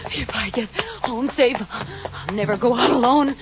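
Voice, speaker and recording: Casting: actress to play terrified young woman, higher voice, slow anxious delivery, breathless old-time radio melodrama. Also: over loud music, natural voice. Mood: panicked pleading. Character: terrified young woman walking home alone at night. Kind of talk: 1940s radio drama acting. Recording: noisy, muffled old radio recording.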